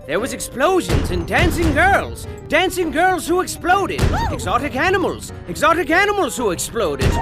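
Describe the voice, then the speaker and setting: A man giving scripted comedic narration for a video game, theatrical and rambling, with game music behind him. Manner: theatrical, excitable, rambling, sudden pitch swings.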